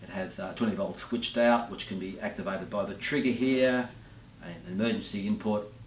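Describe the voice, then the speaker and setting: A man, demonstrating a product off the cuff in a hesitant, halting way. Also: lowish voice, fairly even intonation. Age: 50s. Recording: roomy, distant mic with background noise.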